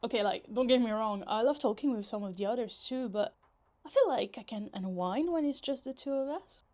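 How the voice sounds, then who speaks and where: A woman's voice: a little high, expressive, a little fast, a little mellow, natural voice. A woman playing a possessive, lovesick yandere young woman in a roleplay ASMR, teasing, pouty and dramatic in casual, conversational speech. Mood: affectionate, clingy.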